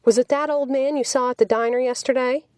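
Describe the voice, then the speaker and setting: A woman's voice: high-pitched, fairly even intonation, quick pace, darkish tone, no echo, studio quality, voice pushed up, lightly acted. A woman voicing an anxious, protective young man in an audiobook, with an earnest, pleading manner. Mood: alarmed, demanding.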